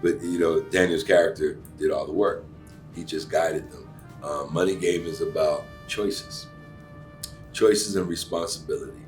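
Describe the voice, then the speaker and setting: A man's voice: a bass voice, fairly even intonation, normal speed, recorded from a distance, faint music behind. A middle-aged man from Cuba, an actor and acting teacher, talking earnestly in a casual interview with plenty of fillers. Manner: earnest, warm-hearted, emphatic, with many fillers.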